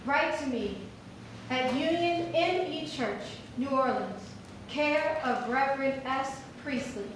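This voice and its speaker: A woman reads dramatically in a high voice. She sounds distant, with heavy echo around her and clear noise in the recording. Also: slow. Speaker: performer reading historical newspaper ads. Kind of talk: staged dramatic reading. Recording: very echoey hall from far off, noisy.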